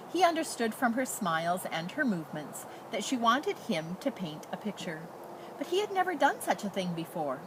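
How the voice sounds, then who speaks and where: A woman reading a children's picture book aloud as a storyteller in expressive narration. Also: mid-range voice. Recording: noticeable background noise.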